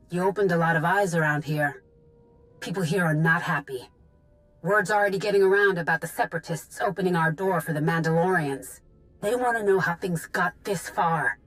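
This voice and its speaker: Woman acting tired defiant young rebel for a video game, kinda low voice, plain talking, bit of music under it. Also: close to an everyday voice, rough recording. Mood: guarded. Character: weary, defiant young female rebel, bitter and determined.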